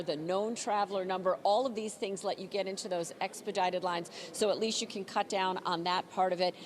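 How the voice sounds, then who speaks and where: A woman's voice: medium pitch, slight background noise. A woman delivers a live television news report in a mid-range voice. She keeps her phrasing conversational and puts emphasis on key words, as a field reporter would.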